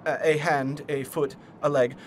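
Man playing nervy puzzle villain, smug, explanatory, highish pitch, faintly noisy recording. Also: little pitch movement, unhurried, close to an everyday voice. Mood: explaining, nervy. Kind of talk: comic fan dub acting.

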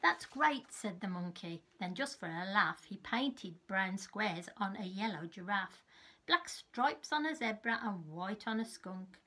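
Slightly husky woman reading to children. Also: medium pitch. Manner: measured, expressive storytelling for small children. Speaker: teacher reading a storybook to young children. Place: small furnished room at home, indoors.

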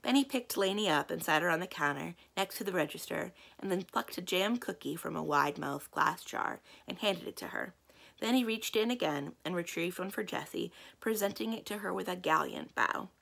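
American woman reading a kids book aloud, lowish voice, bit of fry. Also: expressive, normal speed, fairly bright tone. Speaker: library read-aloud reader. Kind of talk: children's book narration.

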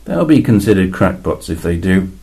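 A man's voice: low voice, sing-song, normal speed, gravelly, mellow, husky, heavily acted. A man voicing a weary male alien envoy, explaining in a dry, exasperated way with sudden emphatic rises in a two-voice science fiction story reading.

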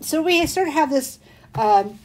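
An older woman with a slightly hoarse, muffled voice, chatting in a folksy, rambling way as she hosts a craft live stream. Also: sits high, lively intonation, unhurried, darkish tone.

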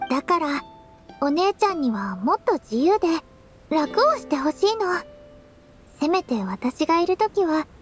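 A woman voicing a sweet, earnest teenage girl priestess in a Japanese anime game, gentle, girlish and a little shy. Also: very high-pitched, full character voice. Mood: tender. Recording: studio voice over game music.

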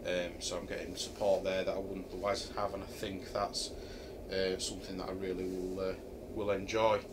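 A man's voice: deep voice, flat delivery, moderate pace, background noise. Ummy Yorkshire man thinking aloud. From Yorkshire, England. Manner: hesitant, lots of ums, thinking aloud. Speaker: vlogger reviewing a camping chair. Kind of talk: casual product review. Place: home kitchen, indoors. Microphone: camera a few feet away.

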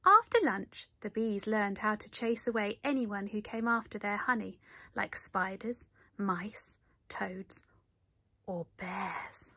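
A woman reading a children's story aloud in an animated way, with dramatic emphasis. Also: medium pitch, takes it slowly, breathy edge, no room sound. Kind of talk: expressive picture-book read-aloud.

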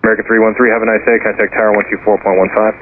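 A man's voice: fairly low pitch, level tone, very fast, narrow, tinny audio. A man working as an air traffic controller, giving clipped instructions over aviation radio.

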